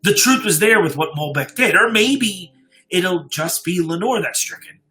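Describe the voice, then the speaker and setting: A man playing a haunted, fatalistic survivor giving a grave, deliberate first-person horror account with dramatic pauses. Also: high voice, expressive, sharp tone. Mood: foreboding.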